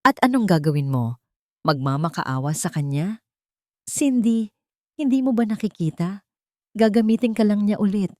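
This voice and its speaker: A woman's voice: mid-range voice, lively intonation, normal speed, long pauses, mellow, no echo, studio quality, close to an everyday voice. A woman narrator voicing a wounded young woman, an older sister, in an audio love story. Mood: bitter.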